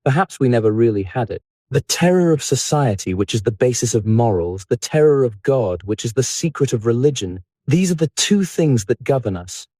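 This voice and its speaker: A man voicing a languid, persuasive lord who philosophises eloquently and deliberately in a low, musical audiobook character voice. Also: lively intonation, a little mellow, no echo, clean studio sound, close to an everyday voice. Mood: suave, philosophical.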